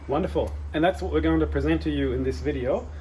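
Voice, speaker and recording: Man, fairly high voice, host, formal welcome speech, faint background noise. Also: quick pace, poor audio. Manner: warm ceremonial welcome, some fillers. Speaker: institute director and host. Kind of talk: formal introduction.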